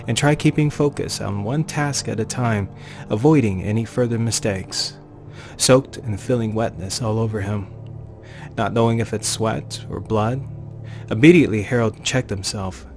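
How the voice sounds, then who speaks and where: A man reading a scripted horror story as a dramatic narrator, with theatrical, emphatic phrasing and deliberate pauses. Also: lowish voice. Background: music.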